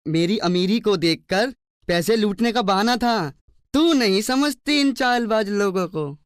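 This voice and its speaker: A woman's voice: medium pitch, lively intonation, measured pace, clear, resonant tone, no room sound, clean studio sound, natural voice. A woman voicing a greedy, suspicious, newly rich man in a cartoon story, with a dismissive, grumbling manner. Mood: grumbling, possessive. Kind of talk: children's cartoon story dialogue.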